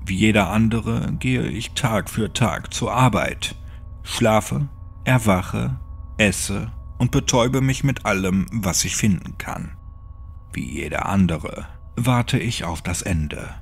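A man with a low, gravelly voice reads a horror story. He is grave and measured, delivering it as dramatic narration over faint music. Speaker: audiobook narrator.

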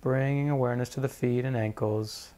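A man leading a guided meditation as a teacher, speaking in a measured, deliberate way with pauses between phrases. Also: medium pitch, fairly even intonation, dark tone.

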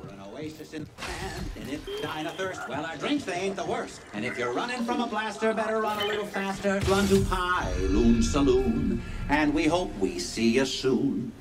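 A man voices a wry mechanical barkeep for a video game. The delivery is showy and very animated, on the high side. It rings with hall reverb, with music behind it. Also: moderate pace, lightly acted. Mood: showy, singsong. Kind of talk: video game cutscene acting. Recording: long hall reverb under loud music.

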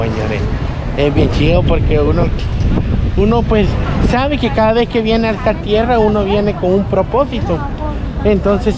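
A young man with a Salvadoran accent, chatting casually as the host of a street vlog. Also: high-pitched. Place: outdoors in a large city plaza. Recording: outdoor traffic and city noise.

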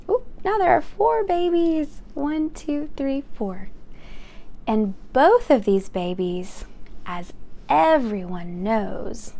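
A woman in her thirties hosting a children's read-aloud, speaking in an animated, sing-song, encouraging way and putting questions to her young listeners. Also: fairly high pitch, gravelly, a little hiss or hum.

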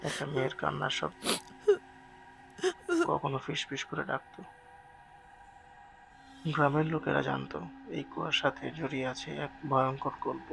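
A man's voice: medium pitch, gravelly, fairly bright tone, slight background noise. A man narrating a scripted horror story in a dramatic, suspenseful way as the storyteller.

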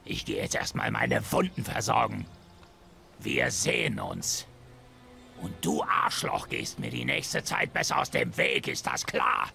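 A menacing video game brawler. The voice is extremely gravelly, hard-edged and sneering, with lively swings in pitch. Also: male voice, a little slow, faint music behind, full character voice. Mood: angry, wounded.

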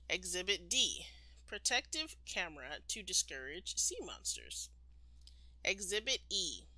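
A woman reading a children's picture book aloud expressively, like a storytime teacher, with deliberate, emphatic phrasing. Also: lowish voice, sharp tone, no room sound.

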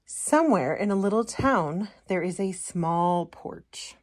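Slow-paced woman reading a storybook. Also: on the low side. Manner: expressive storytelling, putting on character voices. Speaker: storytime reader. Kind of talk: children's picture book read-aloud.

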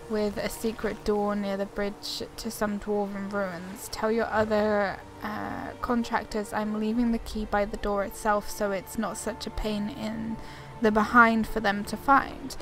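A woman doing casual gameplay commentary and reading quest text aloud, chatty, informal and self-deprecating. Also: mid-range voice. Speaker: gaming YouTuber. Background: video game ambience.

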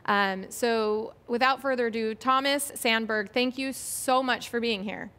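Friendly woman in her 40s hosting, kinda high voice, rushes then slows, lapel mic in a studio. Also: fairly bright tone, clear, resonant tone. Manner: friendly and chatty, pace shifts often. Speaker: podcast host. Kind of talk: casual chat. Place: bright TV-style studio.

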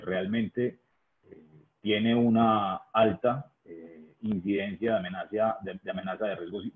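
A young man giving a technical webinar presentation, speaking deliberately, with frequent "eh" and "¿sí?" checks. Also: fairly low pitch. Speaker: engineering presenter at a trade fair webinar. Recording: tinny phone-like line.

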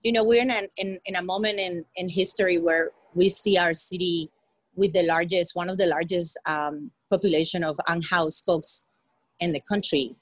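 Young woman from colombia, lowish voice, dry close audio, earbud mic on a video call. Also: dry recording. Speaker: panelist. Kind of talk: panel discussion remarks. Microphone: earbud headset on a video call.

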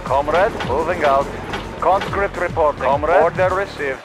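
The dutiful, clipped Soviet conscript a man acts out answering orders on a game battlefield, highish voice over music. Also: normal speed, natural voice. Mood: dutiful, ready. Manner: clipped, military. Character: dutiful Soviet conscripts and sailors, terse soldiers. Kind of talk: scripted game unit responses.

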